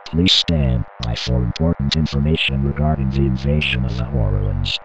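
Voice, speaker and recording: A man's voice: extremely low pitch, steady tone, moderate pace, over music. A man playing a solemn official announcer who interrupts radio programs with formal scripted notices, on a muffled broadcast.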